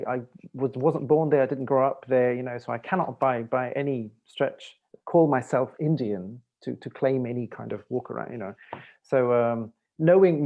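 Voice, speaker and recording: Halting, thoughtful man on a lo-fi call. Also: medium pitch. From Malaysia. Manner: thoughtful, halting, many restarts. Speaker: panel guest, dancer and choreographer. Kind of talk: panel discussion.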